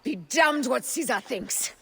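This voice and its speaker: A woman's voice: high-pitched, animated, unhurried, vocal fry, bright, forceful tone, a little hiss or hum, exaggerated voice. An actress playing a proud, bitter and defiant woman warrior in a dramatic game cutscene. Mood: angry, defiant.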